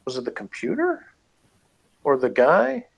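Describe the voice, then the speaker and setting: A man's voice: on the high side, huge pitch swings, a little slow, creaky, slightly rough, lo-fi sound. A man co-hosting a casual review chat, talking in a rambling, matter-of-fact way. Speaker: podcast co-host reviewing a TV show.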